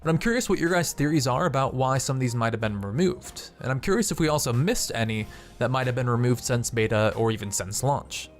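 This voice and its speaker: A man's voice: medium pitch, animated, fairly quick, few pauses. A man hosting a video game channel, reading scripted narration. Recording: background music under voice. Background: music.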